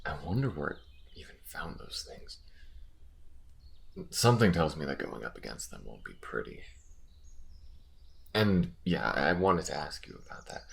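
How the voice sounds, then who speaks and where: A man playing a gruff alien soldier who gets easily flustered and halting, in a scripted audio roleplay. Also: very deep voice, big pitch swings, fairly bright tone, heavily acted. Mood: grim, wary. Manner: halting, stammering when flustered.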